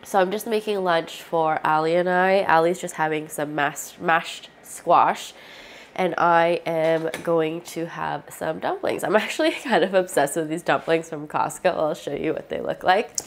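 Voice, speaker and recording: A woman's voice: sits low, unhurried, slight background noise. A young woman vlogging in a casual, rambling way, with a stuffy, congested voice from a cold. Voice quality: stuffy, nasal. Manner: chatty, rambling with many fillers. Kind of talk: casual vlog chat.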